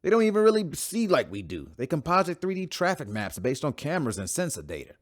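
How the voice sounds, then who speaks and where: A man's voice: fairly high pitch, huge pitch swings, fast talker, no echo. An actor playing a bitter man who still grieves a lost sister, talking heatedly in an audio drama. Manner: heated, emphatic.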